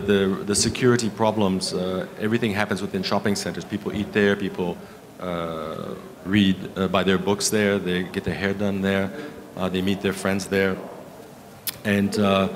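A man in his fifties with a low voice presents at a conference. He speaks haltingly, pausing often between phrases. Clear room noise sits behind him. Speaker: keynote speaker, architect. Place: conference hall stage at a lectern.

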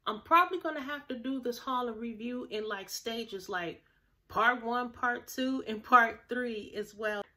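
Woman, 30s, upbeat home vlogger; slightly high, unhurried, a touch nasal; phone-mic close. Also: sharp tone. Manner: chatty, upbeat, addresses viewers directly. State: slightly nasal, recovering from illness. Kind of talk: casual haul vlog. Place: small room at home, plain grey wall.

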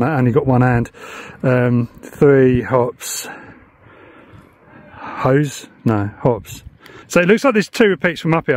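A middle-aged man with an English accent giving casual handheld vlog commentary, informally thinking aloud. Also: mid-range voice. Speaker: vlogger. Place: parked car and open grassy hilltop outdoors. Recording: clear ambient noise on location.

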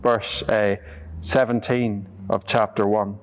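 A man's voice: fairly low pitch, slow pace. Northern Irish man preaching a sermon. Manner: earnest, steady preaching with occasional fillers. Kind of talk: sermon reflection. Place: church interior, standing before the altar.

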